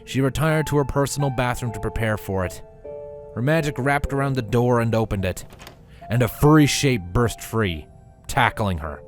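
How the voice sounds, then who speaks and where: A man reads a story aloud as a narrator. His pitch moves in a lively way through the sentences, and music plays faintly behind him. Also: normal speed. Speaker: fan fiction reader. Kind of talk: scripted story narration with character voices.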